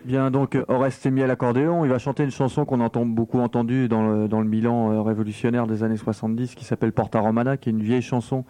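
A man hosting a conversation, briskly steering it and adding context for the listeners. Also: mid-range voice, little pitch movement, mellow, no room sound. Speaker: host. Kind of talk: conversational interview.

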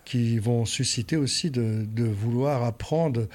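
A man's voice: mid-range voice, measured pace, darkish tone. A man co-hosting a casual radio discussion, stumbling and repeating words as he starts his points.

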